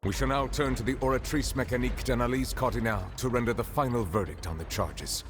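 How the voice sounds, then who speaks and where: An actor playing a stern, composed chief justice in a video game, speaking in formal, measured pronouncements over music. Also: male voice, medium pitch, close to an everyday voice. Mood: solemn.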